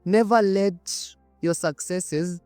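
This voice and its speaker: A man's voice: high-pitched, slow pace, mellow, clean tone, no room sound. Kenyan-accented man, storytelling host, conversational, emphatic, dramatic repetition, dry close recording, light music bed. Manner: conversational, emphatic, repeats phrases for effect. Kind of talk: casual dramatic storytelling to camera.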